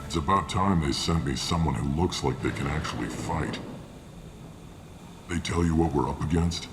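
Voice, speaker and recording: An actor playing a gruff, weary, matter-of-fact male mercenary briefing hired guns in a video game. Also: a bass voice, moderate pace. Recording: clearly noisy game ambience behind.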